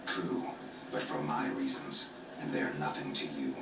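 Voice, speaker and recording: A gruff monster hunter in a game cutscene, with an extremely low, deadpan voice. He speaks in terse, defiant lines, captured in echoey playback over noise. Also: moderate pace, distant mic. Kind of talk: video game cutscene acting. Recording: echoey game audio with noisy background.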